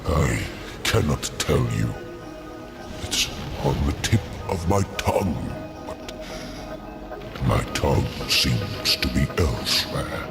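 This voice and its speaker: An actor voicing an ancient, weary stone construct in a video game, gentle, halting and gravelly. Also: male voice, sing-song, over music, exaggerated voice. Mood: dreamy, wistful. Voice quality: deep, gravelly. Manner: ponderous, halting. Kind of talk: video game cutscene acting.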